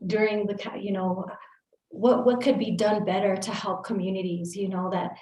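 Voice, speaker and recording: A woman hosts the conversation, sliding between English and Spanish and leaning on "you know" as she frames her questions. Her voice sits at a middle pitch and carries the ring of a reverberant room. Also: fairly even intonation, moderate pace, darkish tone. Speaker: interview host. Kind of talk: conversational interview.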